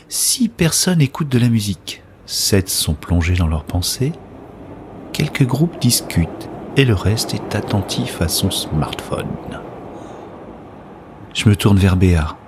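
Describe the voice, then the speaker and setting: A man acting as a low-voiced introspective narrator. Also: noisy background. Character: introspective male narrator of a science-fiction story, gently wry observer. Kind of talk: first-person audio fiction narration.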